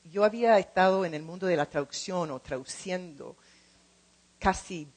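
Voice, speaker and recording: A middle-aged woman speaking in a second language as she gives an informal talk, halting and repeating words as she searches for the next one. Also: on the low side, low-quality recording. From lives in California. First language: not Spanish, speaks it as a second language. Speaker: translator and program co-director.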